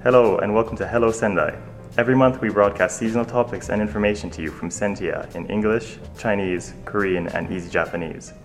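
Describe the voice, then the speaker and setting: An Irish man co-hosts a radio program in a relaxed, chatty way, full of ums and likes. His voice sits very low and he talks fast. Soft music plays faintly behind him. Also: echoey room. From Ireland. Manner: relaxed, chatty, many fillers. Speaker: radio co-host. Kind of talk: casual radio chat.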